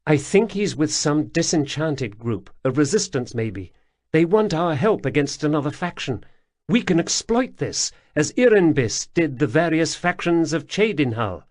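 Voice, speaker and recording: A man voicing a bold, quick-witted young woman explorer, pitched well up, speaking earnestly. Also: big pitch swings. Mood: scheming. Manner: raised pitch, earnest, trying to sound haughty.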